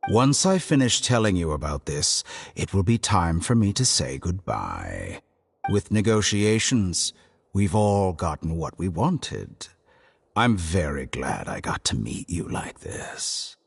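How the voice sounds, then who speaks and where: An actor playing a smug, cold scholar villain in a video game, articulate and sardonic with a theatrical drawl. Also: male voice, low-pitched, very animated, takes it slowly, gravelly, a little hiss or hum, full character voice. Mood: smug, calculating.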